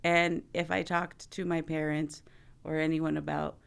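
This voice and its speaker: Gravelly low-voiced woman therapist host. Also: flat delivery, a little slow. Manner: chatty, heavy use of "like", laughs often. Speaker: host, marriage and family therapist. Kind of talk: casual podcast conversation.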